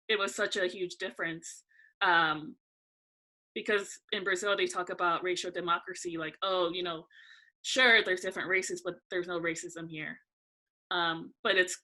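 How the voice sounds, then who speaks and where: Bright-voiced young woman, relaxed chat. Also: lowish voice, poor audio. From California. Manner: relaxed, thoughtful, frequent "um" pauses. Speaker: guest. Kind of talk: casual interview conversation.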